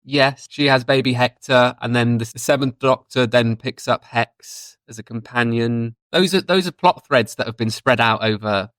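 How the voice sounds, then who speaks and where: A man's voice: mid-range voice, moderate pace, few pauses, fairly bright tone, no room sound. A man chatting casually and reflectively as a guest in a fan discussion on a podcast.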